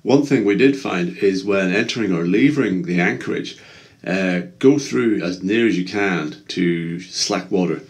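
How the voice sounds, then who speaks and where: A man giving a relaxed, hesitant voice-over about sailing, with many ums and uhs. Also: low-pitched, unhurried, husky. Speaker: sailing vlogger. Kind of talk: casual voice-over narration. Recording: voice-over with music behind.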